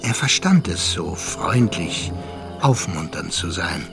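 An actor playing a thoughtful man who recounts a visit to a friend in a radio drama, measured and reflective. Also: sits low, big pitch swings, vocal fry, over music, exaggerated voice. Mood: earnest recounting. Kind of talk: radio drama narration and dialogue.

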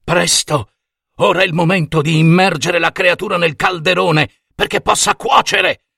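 A man's voice: a little high, big pitch swings, drawn-out words, gravelly, pushed and bright, slightly rough, no room sound, studio quality, heavily acted. A man voicing a commanding village healer aunt in a storybook tale, with an emphatic, incantatory delivery. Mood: urgent commanding. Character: commanding folk-healer aunt, urgent, chanting spells over a cauldron.

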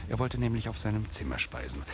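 A man playing a musing storyteller who narrates a literary radio play in a measured, theatrical way. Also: fairly low pitch, big pitch swings, slight background noise. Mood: narrating. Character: storytelling author, musing and self-aware narrator.

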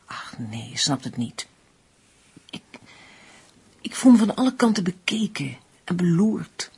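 A woman's voice: fairly low pitch, very animated, darkish tone, slightly rough, exaggerated voice. An actress playing a troubled, guarded woman in a radio drama, speaking hesitantly. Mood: anxious, distrustful. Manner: hesitant, halting. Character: troubled, distrustful woman making guarded inquiries. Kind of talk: Dutch radio drama acting.

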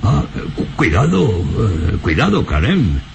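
An actor playing a genial professor in an old radio serial, measured and avuncular, with a taste for the eerie. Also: male voice, deep voice, wildly swooping pitch, vocal fry, a little mellow, a little hiss or hum, full character voice. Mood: gently warning.

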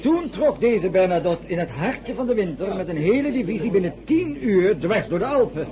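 A man's voice: sits high, very animated, moderate pace. An actor playing a pompous, declamatory male head of government in a radio drama. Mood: suave, probing. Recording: murmur of a salon crowd behind.

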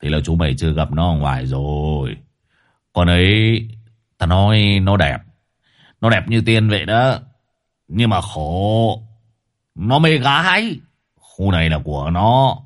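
A man voicing a relaxed gang boss who talks low and conspiratorially. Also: unhurried, pauses often. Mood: confiding. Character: laid-back middle-aged male gang boss.

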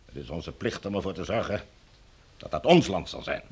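An actor playing an officious, domineering commander in a Dutch radio drama, with a formal, firm, bureaucratic way of talking. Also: male voice, low-pitched, big pitch swings, brisk, slightly rough, exaggerated voice. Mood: calculating, authoritative.

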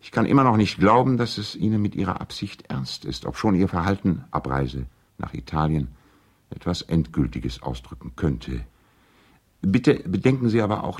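An actor playing a courteous, earnest lawyer and family friend, reading out letters in formal, measured diction in a radio play. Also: male voice, extremely low pitch, full character voice.

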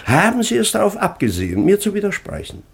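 A man's voice: sits high, wildly swooping pitch, quick pace, creaky, slightly rough, heavily acted. An actor playing a pompous, fussy, easily piqued baron and father in a German radio comedy of manners. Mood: indignant, self-important. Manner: pompous, declamatory. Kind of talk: German radio play of a classic stage comedy.

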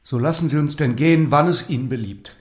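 A man's voice: fairly high pitch, a little fast, natural voice. A man playing a polite, puzzled young lord in an audio drama. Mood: courteous. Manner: formal, courteous, questioning. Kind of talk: theatrical audio drama dialogue. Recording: echoey room, faint noise.

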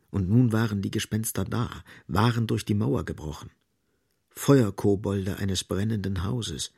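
An actor as the grave, sardonic narrator of a literary radio drama, speaking deliberately and with dramatic emphasis. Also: male voice, lowish voice, gravelly, darkish tone, breathy edge, no echo, studio quality, exaggerated voice.

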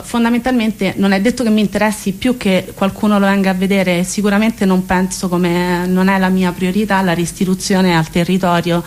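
A woman speaks as a radio interview guest, explaining a project in long sentences while searching for words. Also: medium pitch. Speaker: guest presenting a theatre residency project.

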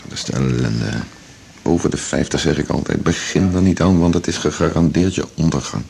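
A man's voice: a little fast, darkish tone, a little hiss or hum, exaggerated voice. An actor playing a blunt, familiar older man who hands out worldly advice in a 1980s radio drama. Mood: concerned, advising. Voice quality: deep gravelly voice.

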